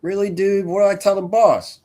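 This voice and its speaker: A man's voice: high voice, fairly even intonation, normal speed, a little mellow, pitched above the performer's own voice, natural voice. A man reading the part of a casual, skeptical coworker at a film script table read. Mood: surprised.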